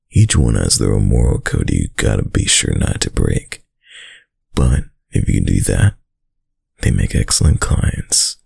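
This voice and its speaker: A man playing a dominant, doting yet menacing mafia heir boyfriend in a roleplay ASMR audio drama, with a deep, husky, gravelly voice and a smug tough-guy drawl. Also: a little mellow, no echo, clean studio sound, exaggerated voice.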